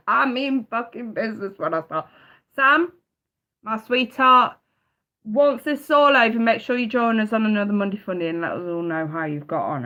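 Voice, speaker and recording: A woman's voice: a little high, bright, forceful tone. A woman with a stuffy nose from a cold, giving a blunt, fired-up live tarot reading and swearing freely. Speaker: tarot reader.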